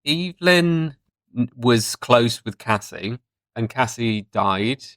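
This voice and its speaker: A man's voice: medium pitch, expressive, takes it slowly, fairly bright tone, no room sound, clean studio sound. A man co-hosting a podcast in casual review chat, talking enthusiastically and thinking aloud as he goes. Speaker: podcast co-host.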